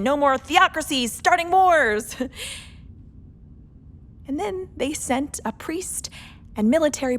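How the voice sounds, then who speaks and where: Jaded female pilot, slow n sardonic, kinda high voice, sci-fi radio drama. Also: a bit bright, slight background noise, full character voice. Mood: bitterly mocking. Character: cynical, jaded female second pilot in space. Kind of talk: radio play monologue.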